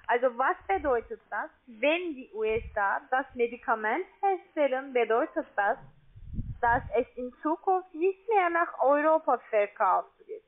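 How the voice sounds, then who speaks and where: A woman with a foreign accent and halting non-native phrasing, working as a call centre sales agent. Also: high-pitched. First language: not German. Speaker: call centre account agent selling online trading. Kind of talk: phone sales pitch. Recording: tinny, narrow phone line.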